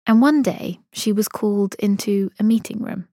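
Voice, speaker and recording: A woman hosting an investigative podcast in measured, scripted narration. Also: medium pitch, mellow, dry recording, clean studio sound. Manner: measured, storytelling.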